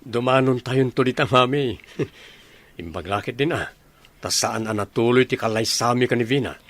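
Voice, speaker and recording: A man's voice: medium pitch, moderate pace, a bit bright, close to an everyday voice. A man acting an easygoing, lovestruck young villager in an Ilocano comedy radio drama, casual and eager. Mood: reassuring, happy.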